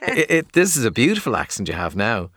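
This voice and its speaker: A man's voice: medium pitch, huge pitch swings, fast talker, a bit bright, no echo, clean studio sound. A man hosting a radio talk show, friendly and prone to restarting his questions. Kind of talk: radio interview.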